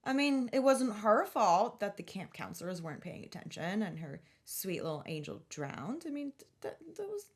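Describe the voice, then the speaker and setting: A woman with a mid-pitched voice talks as a podcast host. She is informal and enthusiastic, rambling along in casual chat. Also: very animated, normal speed, few pauses. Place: podcast recording.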